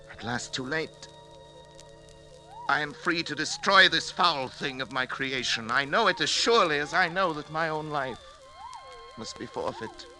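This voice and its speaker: An actor playing a doomed, remorseful scientist giving a grave, intense confession in 1940s radio drama style. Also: male voice, a little high, bright, forceful tone, heavily acted, low-quality recording. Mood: anguished, resolute. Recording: vintage 1940s radio broadcast with music.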